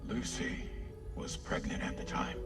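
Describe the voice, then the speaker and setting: An actor playing a composed, formal informant in a video game, speaking in a measured, matter-of-fact way. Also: male voice, distant mic, over loud music, natural voice. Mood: solemn. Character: composed, formal male informant laying out evidence. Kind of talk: video game cutscene acting.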